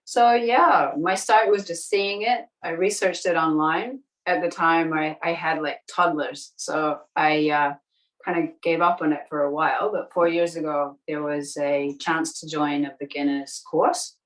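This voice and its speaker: A woman in her forties from New Zealand, her voice low and her accent only faint. She chats in a relaxed, easy way and laughs readily. She sounds a little distant from the microphone. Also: measured pace. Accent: light New Zealand. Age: 40s. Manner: relaxed, chatty, laughs easily. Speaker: interview guest. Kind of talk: casual interview chat. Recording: distant from the mic.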